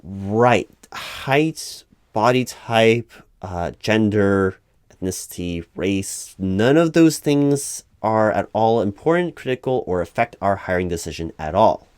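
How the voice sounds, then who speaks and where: A man playing an earnest, easily flustered corporate recruiting manager in a one-sided ASMR roleplay, speaking aloud in a halting, officious, repetitive way. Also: fairly low pitch, expressive, darkish tone, clean tone, dry recording, clean studio sound. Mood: patient, careful. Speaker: job interviewer.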